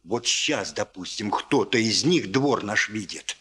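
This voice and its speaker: A man's voice: lowish voice, lively intonation, unhurried, a bit bright. An actor playing a chatty, homely elderly father who grumbles and marvels in a folksy, rambling way, in a 1960s radio play. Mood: musing wonder.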